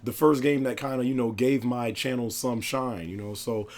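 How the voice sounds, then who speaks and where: Man, hoarse cold-roughened voice, excitable gaming chat, mid-pitched. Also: normal speed, slight background noise. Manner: excitable, slangy, laughs often. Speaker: gaming YouTuber.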